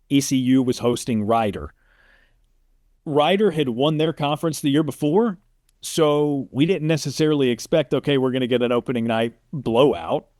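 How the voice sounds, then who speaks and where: A man's voice: mid-range voice, a little mellow, no room sound. Casual male sportscaster, close dry sound. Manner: informal, conversational, checks in with the listener. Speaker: sports play-by-play announcer, interview guest. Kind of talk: casual interview storytelling.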